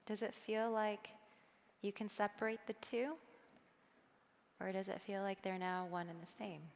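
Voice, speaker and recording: A woman keynote speaker on a stage, guiding a meditation softly and then talking with the audience in a chatty way. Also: medium pitch, gravelly, long reverb tail. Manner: soft, slow guiding then lively chatty with laughs. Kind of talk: guided meditation and audience talk. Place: large hall on a stage.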